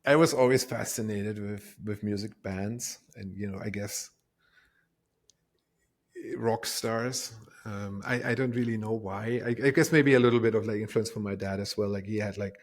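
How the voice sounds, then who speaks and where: A man's voice: on the low side, steady tone, normal speed. A middle-aged man from Germany with a German accent, talking casually and reflectively as an interview guest, hesitant and self-deprecating as he repeats words. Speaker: interview guest, tech company co-founder.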